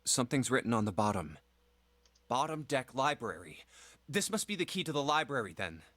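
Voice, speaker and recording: An eager young game hero. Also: male voice, medium pitch, very animated, a little fast, no room sound. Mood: curious. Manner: eager, thinking out loud. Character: young man, curious, quick to react. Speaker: voiced game protagonist.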